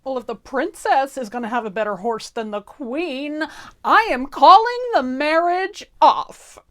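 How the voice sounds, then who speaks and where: A spoiled, vindictive duchess performed by a woman storyteller in a comic fairy tale. She is brash, whiny and demanding, with high, theatrical swoops in her delivery. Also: lively intonation, unhurried, sharp tone, heavily acted. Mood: outraged, demanding.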